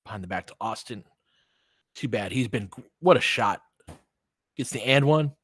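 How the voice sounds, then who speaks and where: A man's voice: mid-range voice, lively intonation, moderate pace, a bit bright, slightly rough, no room sound, clean studio sound. A man giving casual, slangy live basketball play-by-play as a partisan fan host, often in short clipped phrases.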